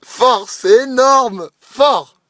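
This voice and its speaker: A man's voice: very high voice, drawn-out words, noisy background. A man prank-calling as a radio game show host, with boisterous, pushy, improvised patter. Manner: boisterous, pushy, hyped-up exhortations.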